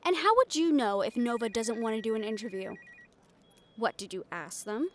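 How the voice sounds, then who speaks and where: Woman acting brash, higher-voiced young female reporter. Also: animated, moderate pace, close to an everyday voice. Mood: insistent, persuasive. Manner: sarcastic, cocky, exasperated, conversational. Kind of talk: improvised audio roleplay acting.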